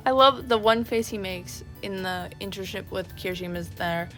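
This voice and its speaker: A woman's voice: mid-range voice, normal speed, pushed and bright. A woman vlogger chatting casually and gushing like a fan in a rambling way, over background music. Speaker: YouTube vlogger. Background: video game background music.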